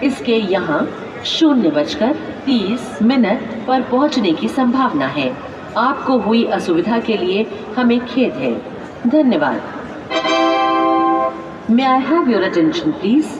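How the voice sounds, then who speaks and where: A woman with an Indian accent reading formal, segmented pre-recorded railway announcements over a public address loudspeaker. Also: on the high side, big pitch swings, far from the mic, music in the background. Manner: formal, measured, segmented phrasing. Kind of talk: pre-recorded scripted public announcement, pieced together from phrases. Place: busy railway station, loudspeaker heard from afar. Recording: echoey, dull station PA with crowd noise.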